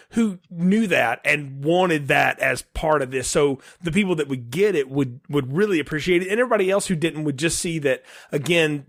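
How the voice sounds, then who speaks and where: A middle-aged man with an American accent talks casually as a film podcast co-host. His voice sits a bit high for a man, and the sound is dry, with no room echo. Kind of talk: casual film review chat.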